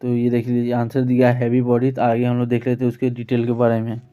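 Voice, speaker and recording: A man's voice: mid-range voice, completely flat delivery, fast, mellow, smooth. A man with an Indian accent giving an informal exam-prep physics lesson as a teacher, working through questions. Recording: dull, lo-fi sound.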